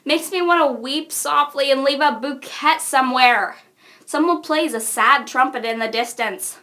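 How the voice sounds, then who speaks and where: A woman voicing a cocky young wisecracker who rambles in deadpan fashion, for a cartoon character reel. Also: high-pitched, measured pace. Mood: smug, deadpan.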